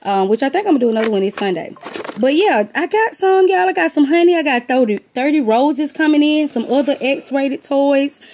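A woman's voice: high voice, animated, brisk, darkish tone. Chatty woman showing a haul. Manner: chatty, addresses viewers as y'all and honey. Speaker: vlogger and gift basket maker. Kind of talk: casual haul vlog. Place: warehouse-style room with boxes, indoors. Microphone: handheld phone camera.

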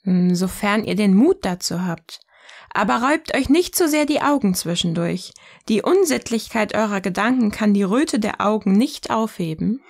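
Eine Frau spielt in einem Hörspiel eine provokante, ironische Gesprächspartnerin, die bedächtig und neckend philosophiert. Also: female voice, medium pitch, animated, normal speed. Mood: teasing. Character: provocative, ironic woman sparring in philosophical dialogue. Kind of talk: scripted audio drama dialogue, philosophical banter.

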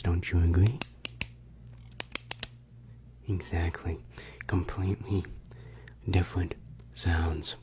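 Young guy doing asmr, low slow whisper, close on the mic, confiding. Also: noisy background. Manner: confiding, coaxing, playful. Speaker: ASMR creator. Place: bedroom indoors. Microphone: large desktop microphone, very close.

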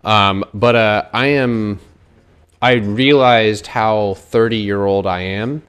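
A man's voice: fairly low pitch, steady tone, slow pace, fairly bright tone, smooth. A man in his thirties talking through stock charts live, joking loosely with his chat between points. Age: 30s. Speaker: stock trading streamer. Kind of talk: casual live chart analysis.

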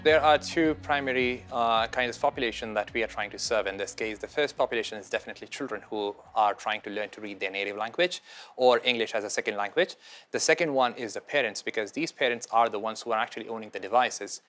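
Low-pitched man walking through a product. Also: quick pace, a bit bright, faint music behind. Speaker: product team member demonstrating an app. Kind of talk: interview walkthrough. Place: indoor room, seated interview.

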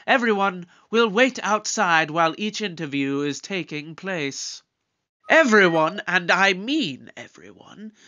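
Guy playing eccentric bossy doctor detective in audio drama, high bright voice, formal and theatrical. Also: muffled recording, exaggerated voice. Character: eccentric, commanding alien Doctor leading a murder inquiry. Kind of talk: scripted audio drama acting.

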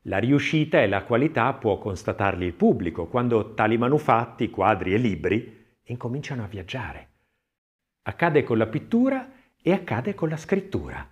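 A man reading a scripted literary text as an audiobook narrator, expressive and measured, with deliberate phrasing. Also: medium pitch. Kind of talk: scripted audiobook narration of an art-history essay, with recited verse.

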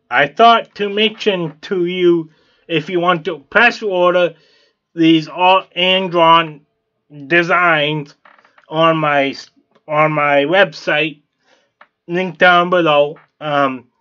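A man's voice: a little high, bright, forceful tone, dull audio. A man hosting a vlog, narrating how he hand-draws a t-shirt design in a plain, repetitive way with fillers and restarts. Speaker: t-shirt artist, channel host. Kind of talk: narrated drawing tutorial.

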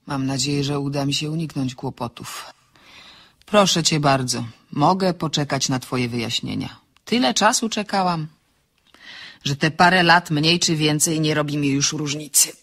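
Husky-voiced woman reading fiction. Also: sits low, normal speed, fairly bright tone. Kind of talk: expressive audiobook reading of a novel with acted dialogue.

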